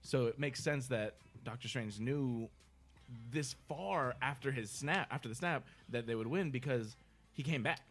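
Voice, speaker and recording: A man co-hosting a casual podcast, explaining things in a profane, animated way and quoting people in his own words. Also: mid-range voice, normal speed, soft background music. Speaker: podcast co-host. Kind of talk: casual chat, film discussion.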